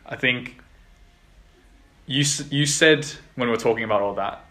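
A man's voice: mid-range voice, moderate pace, sharp tone. A man hosting a podcast, chatting loosely with a guest and leaning on filler words. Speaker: podcast host. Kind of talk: casual interview chat.

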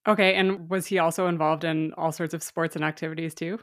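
A woman's voice: low-pitched, fairly even intonation, a little fast. A woman hosting an interview podcast, upbeat and eager, reading a scripted intro and then chatting with her guest. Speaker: podcast host.

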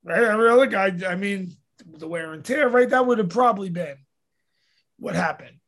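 High-voiced New man chatting. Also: moderate pace, fairly bright tone. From New York. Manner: rambling, swears freely, acts out his own quotes. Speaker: podcast host, mixed martial arts fighter. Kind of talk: casual profane chat.

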